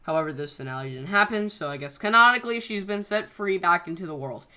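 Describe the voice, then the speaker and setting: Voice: mid-range voice, animated, quick pace, bright, forceful tone, smooth. A channel creator casually explaining scrapped plans in a rambling way, full of fillers and self-corrections. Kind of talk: casual behind-the-scenes commentary.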